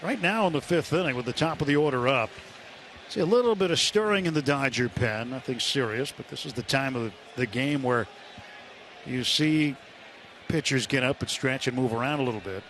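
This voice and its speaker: A man's voice: medium pitch, animated, a little fast, a bit bright. A man doing live play-by-play baseball commentary for radio. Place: stadium broadcast booth. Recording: clear stadium noise behind. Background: stadium ambience.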